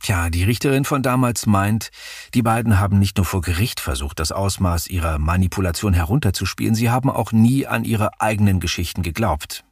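A man co-hosting a true-crime podcast, narrating a court case in a measured, scripted way. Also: on the low side, slightly rough, dry recording, studio quality. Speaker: podcast co-host.

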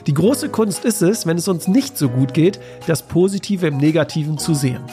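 A man's voice: a little high, lively intonation, moderate pace, mellow, soft background music. A man from Germany hosting a health podcast. He reads a scripted intro and then asks his guest friendly questions, with many "äh" fillers. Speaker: podcast host.

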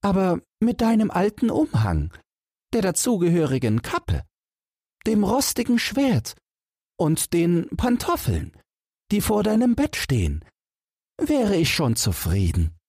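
Man putting on a crafty, high-pitched young storybook hero. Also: huge pitch swings, a little slow, a little mellow, breathy edge, no echo, clean studio sound, exaggerated voice. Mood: sly, courteous. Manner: sly, urgent, mock-courteous. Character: crafty boastful young fairy-tale hero Jack. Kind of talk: storybook character voice.

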